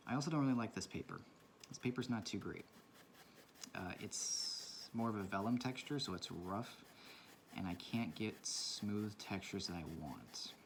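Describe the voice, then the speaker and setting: A man with a low voice talking quietly in a casual, rambling and reflective way while he draws for his vlog. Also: slight background noise. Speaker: vlogger. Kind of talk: casual talk while drawing. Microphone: camera mic from a propped camera.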